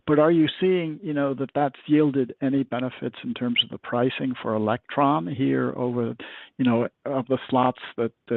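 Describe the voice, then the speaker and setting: The creaky-voiced man who dials into an investor call to put questions to management, close and dry on a phone line. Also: medium pitch, no room sound. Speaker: financial analyst asking questions. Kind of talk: conversational questioning on an earnings call.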